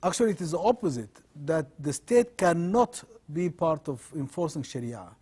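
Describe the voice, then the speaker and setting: A middle-aged man from Sudan, a law professor answering interview questions in measured, earnest explanations. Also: a little high, expressive, warm tone, husky.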